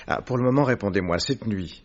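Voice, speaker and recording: A man playing a methodical, paternal detective in a French radio drama, questioning in a measured, soothing manner. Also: fairly low pitch, lightly acted. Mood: calm, reassuring. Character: Victorian detective, methodical, probing, paternal gentleman. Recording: dull, noisy old radio recording.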